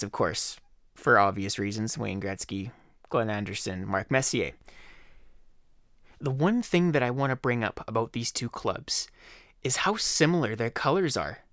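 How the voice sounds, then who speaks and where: Hoarse man hosting a casual podcast. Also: on the low side, a bit bright, no echo, clean studio sound. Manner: rambling, conversational, with fillers. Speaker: podcast host. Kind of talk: casual solo podcast talk.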